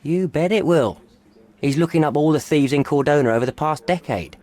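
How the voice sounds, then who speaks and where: An actor playing a put-upon, sarcastic police officer in a video game, with a gruff, blunt, griping manner. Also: male voice, fairly high pitch, fairly quick, darkish tone, lightly acted. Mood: sardonic. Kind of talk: video game cutscene acting.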